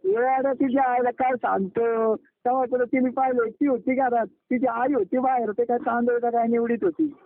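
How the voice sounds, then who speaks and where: A man's voice: very high voice, little pitch movement, moderate pace, no echo. A young man chatting casually with a friend in an insistent, blunt, repetitive way on a phone call. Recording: narrow, tinny phone call recording.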